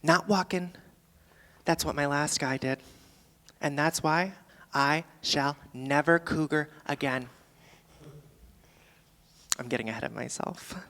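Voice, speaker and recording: A performer playing a boozy, world-weary middle-aged female comic, doing a rambling, deadpan stand-up monologue live on a theatre stage. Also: mid-range voice, takes it slowly, long pauses, big-room sound. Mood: confessional, swaggering. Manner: rambling, deadpan, theatrical, swinging between asides and outbursts.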